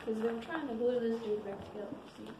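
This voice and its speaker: A woman's voice: a little high, fairly quick. A woman with a US Midwest Ohio accent, exploring an abandoned school with friends, curious and joking. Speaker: fellow explorer. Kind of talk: casual chat while exploring. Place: abandoned school building, indoors. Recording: distant, echoey and very noisy camera audio.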